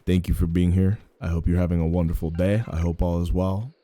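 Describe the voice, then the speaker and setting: Loud, profane young man streaming. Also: deep voice, level tone, brisk. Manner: rambling, profane, self-mocking. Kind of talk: casual live-stream chat while gaming.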